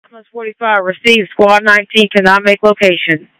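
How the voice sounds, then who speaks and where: A man's voice: sits high, steady tone, a little fast, bright, forceful tone, clean tone, no room sound, low-quality recording. A man working as an emergency responder, making terse reports over two-way radio. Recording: fire department two-way radio traffic.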